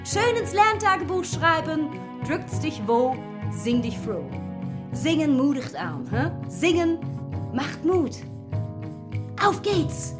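An actress playing a relentlessly cheerful Dutch singing teacher in a children's radio play, gushing and sing-song, with a Dutch accent. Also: female voice, sits high, very animated, slow pace, pauses often, exaggerated voice. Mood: bubbly, coaxing. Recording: music behind the voice.

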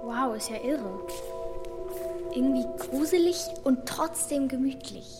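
Very high, curious child. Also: female voice, animated, unhurried, over music. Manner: inquisitive, blunt. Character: curious, frank child, Bela's playful friend. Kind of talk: radio play acting. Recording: long hall reverb.

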